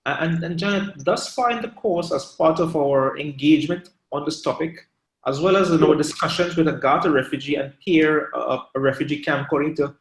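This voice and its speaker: A man's voice: on the high side, fairly quick. A man asking a long, formally worded question in an online seminar, using wordy academic phrasing. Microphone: video call. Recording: echoey room over video call.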